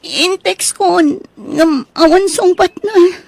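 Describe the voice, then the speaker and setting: A woman's voice: very high-pitched, slow, creaky, dry recording, exaggerated voice. A woman playing a headstrong, pleading young daughter in an audio drama, speaking in a whiny, emotive way. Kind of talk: Ilocano audio drama acting.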